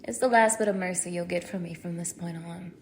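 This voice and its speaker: A woman's voice: lowish voice, fairly even intonation, fairly quick, natural voice. Girl acting a cruel young woman captor, mocking and degrading, audio roleplay, noisy background. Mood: cold, menacing. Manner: mocking, degrading, swinging from hushed menace to shouting. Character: cruel, contemptuous young woman holding her captive. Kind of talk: dramatic audio roleplay, spoken close to one listener.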